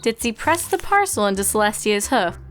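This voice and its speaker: A woman's voice: mid-range voice, big pitch swings, normal speed, a bit bright. A woman reading fan fiction aloud as scripted storybook narration, with music behind.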